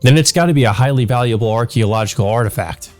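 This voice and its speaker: A man's voice: medium pitch, quick pace, faint music behind, lightly acted. A man reading the part of an easygoing, wry young male visual novel protagonist in a conversational way.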